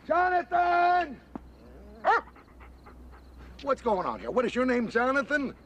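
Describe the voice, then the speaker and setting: A man's voice: sits high, gravelly, noisy background, exaggerated voice. An actor playing an exasperated American man, griping and talkative with a sarcastic edge, in a television drama. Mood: searching, puzzled. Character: exasperated sarcastic human partner of an angel.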